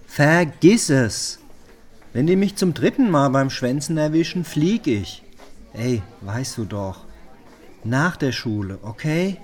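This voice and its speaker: A man's voice: medium pitch, lively intonation, slow pace, darkish tone. A man playing a reluctant, exasperated teenage schoolboy sidekick in an audio drama, grumbling in slang.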